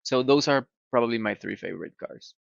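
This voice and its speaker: A man in his forties from Mexico, speaking English with a Mexican Spanish accent, answering interview questions in a halting way with many fillers and restarts over a video call. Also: medium pitch, creaky. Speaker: guest, computer scientist. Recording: slightly dull video call audio.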